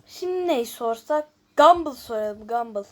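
A woman's voice: on the high side, lively intonation, measured pace, a bit bright. A woman hosting a casual gameplay video, chatting and thinking aloud as she reads out questions and answers them. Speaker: YouTube host.

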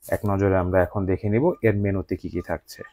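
A man's voice: deep voice, little pitch movement, a little fast, mellow, no room sound. A man with a Bangladeshi accent narrating a scripted product unboxing review as the channel's host.

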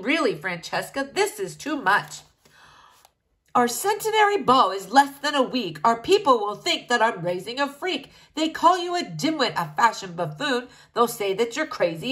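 A woman's voice: on the high side, fairly bright tone. A woman voicing a fussy, snobbish king who scolds his daughter. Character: fussy, snobbish middle-aged male king.

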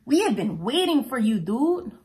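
Tiny chihuahua amigo female reader, male, storybook style, high and very animated, easygoing gang member. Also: moderate pace. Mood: welcoming. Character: small, laid-back male chihuahua gang member telling his tale. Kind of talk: children's storybook character voice.

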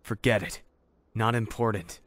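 A man's voice: lowish voice, fairly even intonation, measured pace, fairly bright tone, no echo. A man playing a jaded, grieving survivor in a zombie fan drama, talking in a curt, weary, sardonic manner. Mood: weary, dismissive. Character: jaded, blunt, grieving male survivor, bitter but loyal. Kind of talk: dramatic audio visual-novel fan drama.

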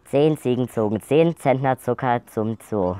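A man's voice: medium pitch, measured pace, mellow, smooth. A young adult man chatting casually outdoors as a vlog host, given to blurting out sudden words. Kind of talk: casual chat. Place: outdoors in a garden by a pool.

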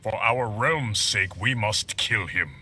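Deep, stern warrior emperor. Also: male voice, heavy background noise. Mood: solemn distrust. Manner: formal, solemn, measured. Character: stern, distrustful male warrior emperor of an otherworldly realm. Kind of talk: video game voice acting.

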